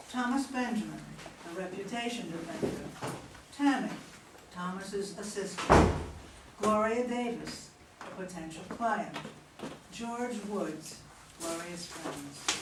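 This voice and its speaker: Female stage-directions reader, medium pitch, distant echoey recording with background noise. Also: slow. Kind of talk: reading stage directions aloud. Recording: echoey room, far mic, clear background noise.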